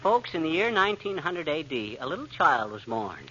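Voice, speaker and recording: An actor playing a folksy, boastful, wisecracking husband in excitable 1940s radio comedy style. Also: male voice, a little high, moderate pace, breathy edge, exaggerated voice. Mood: mock grand. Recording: muffled vintage radio, faint noise.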